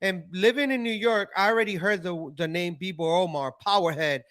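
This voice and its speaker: A man's voice: high-pitched, a little fast, fairly bright tone. A man hosting a casual interview, eagerly asking questions and steering the talk with follow-ups. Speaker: host.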